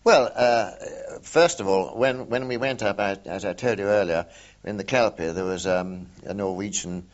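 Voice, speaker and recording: An older man, a war veteran, recalling events in an oral history interview, hesitant with many pauses and fillers. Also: lowish voice. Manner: hesitant, many ums and uhs, measured. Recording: dull lo-fi recording.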